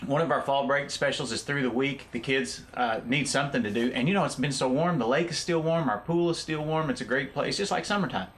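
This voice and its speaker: A man's voice: medium pitch, brisk, few pauses. A man, an upbeat guest talking up his resort on a local TV show. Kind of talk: casual promotional chat.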